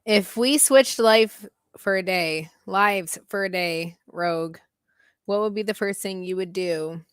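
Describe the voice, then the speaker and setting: A woman's voice: medium pitch, measured pace, clear, resonant tone, dry recording, clean studio sound. A woman hosting a casual chat, reading out party questions and thinking them over aloud with hums and giggles, over a video call. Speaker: host.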